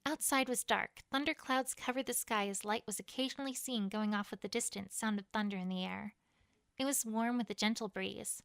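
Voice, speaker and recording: A woman's voice: medium pitch, fairly even intonation, brisk, gravelly, no room sound, clean studio sound. A woman reading a first-person fantasy story as a dramatic narration. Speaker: fan fiction reader.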